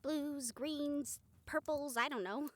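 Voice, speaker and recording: A woman's voice: high voice, takes it slowly, no echo. A woman playing a caring, teasing and driven strategist of a supernatural team in a conversational audio roleplay. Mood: gently concerned. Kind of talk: scripted audio roleplay acting.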